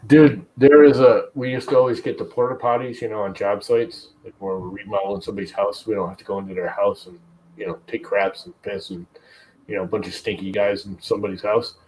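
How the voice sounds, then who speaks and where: Low-voiced man chatting casually on stream. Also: level tone, a little fast, a little mellow, low-quality recording. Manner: rambling, swears casually, tells stories with mimicry. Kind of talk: casual chat while 3D printing.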